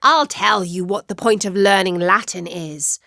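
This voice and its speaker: A woman's voice: mid-range voice, measured pace, creaky, pushed and bright, no room sound, studio quality. A woman voicing a strict, fierce male schoolteacher in a storybook, roaring out threats. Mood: furious roar. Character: strict, fierce male Latin teacher, secretly a magical cat.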